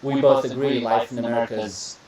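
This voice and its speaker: A young man from Iraq hosting a podcast interview, relaxed and chatty, often repeating words and calling his guest "bro.". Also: medium pitch, fairly quick, recorded from a distance. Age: young adult. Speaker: podcast host. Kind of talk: casual interview chat. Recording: echoey room, voice a bit off-mic.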